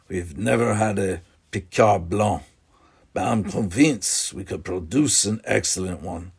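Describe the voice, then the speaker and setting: A man's voice: lowish voice, a little mellow, breathy edge, full character voice. A man voicing a stern, proud father in an audiobook, speaking in an authoritative, cold way. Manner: authoritative, cold, bellowing when angered. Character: stern proud older father, commanding vintner. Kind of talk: audiobook character voice.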